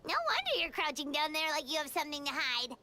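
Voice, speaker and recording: A woman voicing a tiny, excitable childlike fairy companion in an anime video game, squeaky and exclamatory. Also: quick pace, a crowd in the background. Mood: excited. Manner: exclamatory, speaks of self in third person. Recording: game music behind the voice.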